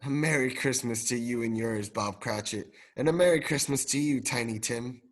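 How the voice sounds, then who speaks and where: A man's voice: medium pitch, moderate pace, lightly acted. A male drama student playing an elderly reformed miser, joyful and repentant, in a radio play. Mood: joyful, generous.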